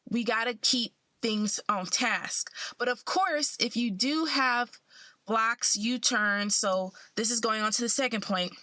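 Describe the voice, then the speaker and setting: A woman's voice: fairly high pitch, a little slow, pushed and bright, no echo. A young woman who sounds a bit congested, talking in a casual, upbeat and encouraging way to her vlog followers. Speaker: vlogger.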